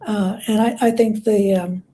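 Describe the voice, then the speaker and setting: A woman talking as an interview guest, telling stories in a halting, reflective way with repeated words. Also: medium pitch, steady tone, warm tone, poor audio. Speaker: guest author and biographer. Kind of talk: conversational interview storytelling.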